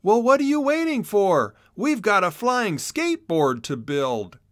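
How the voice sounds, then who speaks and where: A man voicing an excitable, curious young boy hedgehog in a children's storybook, eager and exclaiming. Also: high-pitched, measured pace, no room sound, clean studio sound, pitched above the performer's own voice, heavily acted. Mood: animated, eager.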